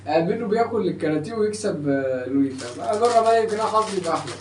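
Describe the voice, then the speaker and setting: A young Egyptian man talks very fast and emphatically as he hosts a casual unboxing vlog. He sounds excitable and boastful, picked up by a distant mic in an echoey room. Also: high-pitched. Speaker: vlog host. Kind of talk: casual unboxing chat to camera. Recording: distant roomy mic with faint noise.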